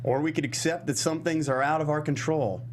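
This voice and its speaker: A man's voice: fairly high pitch, fairly quick, close to an everyday voice. A man playing a mild, resigned young political intern in a comedy table read. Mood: mild, casual. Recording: faint room noise and hum.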